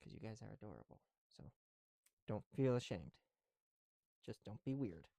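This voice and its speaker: A man talking casually and informally to viewers in a soft, mellow voice, in the manner of a homemade ASMR video. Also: lowish voice, sing-song, normal speed, creaky, dry recording, studio quality. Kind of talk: casual ASMR chat while building bricks. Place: small room at home, on the floor.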